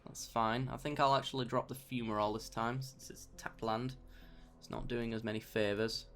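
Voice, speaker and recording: Young gamer, a bit croaky with a sore throat, relaxed let's-play commentator who talks through each move. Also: male voice, medium pitch, moderate pace. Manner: thinks aloud, mild self-talk, bursts of mock outrage. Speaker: gameplay commentator.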